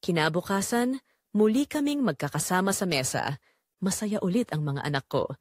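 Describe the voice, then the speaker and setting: A woman's voice: fairly low pitch, animated, normal speed, dry recording, studio quality. Woman acting wistful Filipina mother, swooping intonation. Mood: quietly hurt. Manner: wistful, emotive, addressing listeners directly. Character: weary, neglected middle-aged Filipina mother, quietly sorrowful. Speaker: narrator and main character of an audio drama. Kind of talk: first-person dramatic confessional storytelling.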